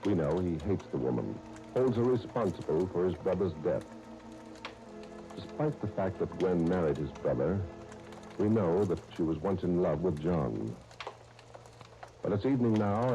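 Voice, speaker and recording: A man narrates a 1930s radio serial with formal drama. His low voice moves expressively over clearly audible music. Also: warm tone, low-quality recording. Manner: dramatic, formal storytelling delivery.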